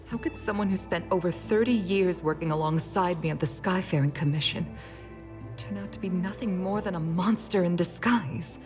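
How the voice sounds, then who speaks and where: A woman playing a dignified, grieving middle-aged leader in a video game, speaking in a formal, measured and solemn way. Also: lowish voice, lightly acted. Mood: stunned, grieving. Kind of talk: scripted video game voice acting. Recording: game music under the voice. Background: game music.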